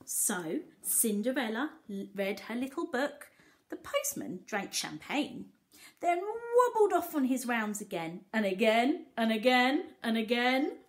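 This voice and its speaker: A woman reading a picture book aloud to young children, expressive, pausing to explain and ask simple questions like a teacher. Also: fairly high pitch.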